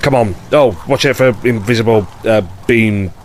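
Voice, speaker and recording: A man acting as a gruff, blunt, easily flustered police inspector in an audio drama. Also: on the low side, moderate pace, slight background noise, natural voice. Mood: exasperated.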